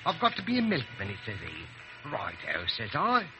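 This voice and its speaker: A man's voice: medium pitch, huge pitch swings, moderate pace, gravelly, over music. An actor playing a chatty working-class London milkman, telling his story in a plain, folksy way in 1930s radio drama style. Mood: explaining.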